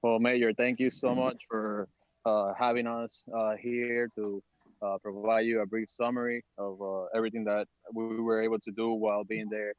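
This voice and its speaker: Halting low-voiced man, flat delivery. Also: dry recording, dull audio. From Dominican Republic. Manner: halting, many "uh" fillers and restarts. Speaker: nonprofit testing organizer. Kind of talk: briefing a council meeting.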